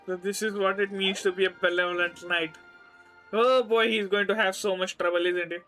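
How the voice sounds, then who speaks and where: A man's voice: high-pitched, steady tone, moderate pace, bright, forceful tone, faint music behind, low-quality recording. A man with an Indian accent giving casual live commentary as a game streamer.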